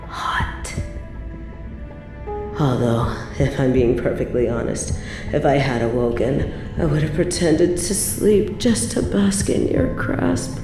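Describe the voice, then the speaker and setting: A woman playing an evil, possessive medieval queen in a roleplay audio drama, haughty and theatrical with drawn-out, breathy asides. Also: extremely low pitch, roomy sound. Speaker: voice actor in a scripted roleplay audio.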